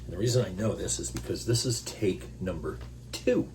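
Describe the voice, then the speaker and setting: A man hosting a scripture reading, stopping for casual, self-deprecating asides and clearing his throat through his allergies. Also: low voice, moderate pace, darkish tone. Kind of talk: Bible reading with informal commentary. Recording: noisy recording with electrical hum.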